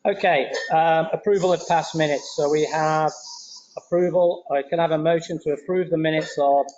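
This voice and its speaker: A man chairing a homeowners board meeting, running through the agenda in a brisk, procedural way. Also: a little high, fairly even intonation, a little mellow. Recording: dull meeting room audio, faint noise.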